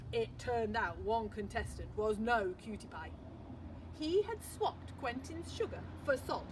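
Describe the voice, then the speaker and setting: Slow, expressive woman storyteller. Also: a little high, slight background noise. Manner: expressive storytelling with dramatic pauses. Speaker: primary school teacher. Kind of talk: reading a picture book aloud.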